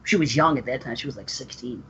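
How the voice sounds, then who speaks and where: A man's voice: medium pitch, fast talker, fairly bright tone. Podcast co-host, male, rambling talker, slightly muffled audio with faint hiss. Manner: rambling, many fillers and restarts. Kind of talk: casual chat. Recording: slightly dull, faint noise.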